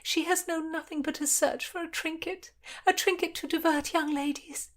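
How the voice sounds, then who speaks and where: A woman doing a high pleading young fugitive girl. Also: steady tone, moderate pace. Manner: high, beseeching, often whispered.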